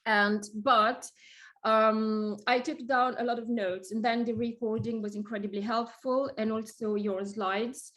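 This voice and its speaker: A woman's voice: on the high side, little pitch movement, a little slow, fairly bright tone, rough recording. A woman whose first language is Italian talks about her work on a video call. She rambles in a friendly, chatty way, trailing off and restarting often, with frequent "like" and "um". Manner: chatty, many fillers and restarts. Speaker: guest interior designer. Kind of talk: casual video call chat.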